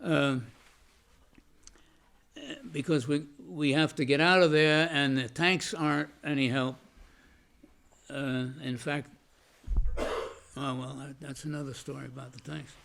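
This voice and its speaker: An older man, a veteran, recalling his past in an oral history interview, halting with many restarts and fillers and a loud voice. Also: a little high, steady tone, creaky. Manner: says he has a loud voice.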